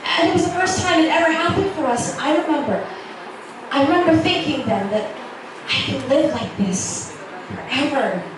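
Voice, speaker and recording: Actress as wistful young student girl, sincere n halting, romance reading, super echoey w/ lots of hiss. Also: high-pitched, expressive. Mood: wistful wonder. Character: earnest, wistful young Filipino medical student woman. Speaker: reader at a literary festival. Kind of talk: dramatic reading of a romance novel's dialogue. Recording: echoey, noisy room from far off.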